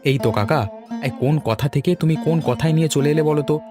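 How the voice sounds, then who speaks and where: A man's voice: a little high, fairly quick, music in the background, lightly acted. A man voicing a reluctant, easygoing young village bachelor in a cartoon. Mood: exasperated. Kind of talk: Bengali cartoon dialogue.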